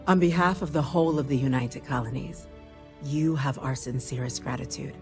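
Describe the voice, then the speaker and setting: A woman playing a dignified senior government official in a video game, speaking formally with measured authority. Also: rumbling low, fairly even intonation, over music. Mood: formal, grateful.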